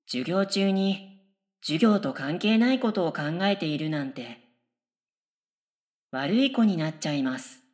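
A woman playing an oddly polite, daydreaming middle-school girl in a radio comedy, musing absurdly in earnest, deadpan, polite speech. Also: lowish voice, measured pace, long pauses. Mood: prim. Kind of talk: scripted radio comedy dialogue.

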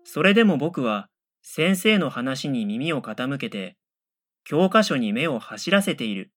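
An earnest middle school boy played by a man in a radio drama, with a lively, swinging pitch at a middling height. He is matter-of-fact and drops dry, deadpan corrections, with music underneath. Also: measured pace. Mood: earnest narrating. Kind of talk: radio drama first-person narration and dialogue.

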